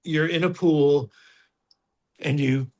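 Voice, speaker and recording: A man's voice: a little high, steady tone, slow, a little mellow. A man, an actor, reflecting on his working method in an interview and searching for the right words as he goes. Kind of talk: interview answers.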